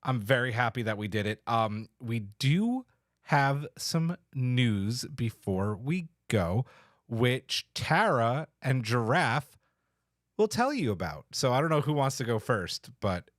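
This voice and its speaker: A man's voice: medium pitch, big pitch swings, slow, no room sound, studio quality. A man hosting a podcast in casual chat, with an informal, slangy, mildly profane way of talking. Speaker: podcast host.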